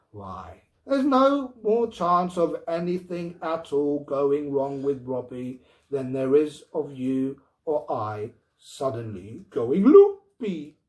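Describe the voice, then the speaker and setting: A man's voice: a little high, a little mellow, poor audio. A man playing a stubborn, dismissive husband and father in a storybook reading, blustering and emphatic.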